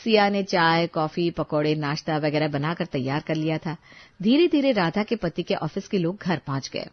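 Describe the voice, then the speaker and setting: A woman's voice: sits low, lively intonation, moderate pace, lo-fi sound. A woman reading a scripted moral story as its narrator.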